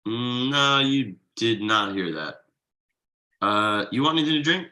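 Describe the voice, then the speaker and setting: Man playing a bright-voiced, easygoing tired dad. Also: medium pitch, expressive, unhurried. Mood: friendly, concerned. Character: exhausted, easygoing 37-year-old slacker dad. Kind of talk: naturalistic comedy table read.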